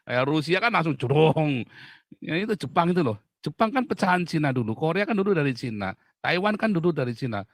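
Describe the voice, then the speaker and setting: A man's voice: a little high, big pitch swings, brisk, no echo, clean studio sound. A middle-aged man from Indonesia, a pastor hosting an outspoken talk, speaking bluntly and emphatically and laughing often. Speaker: pastor and host.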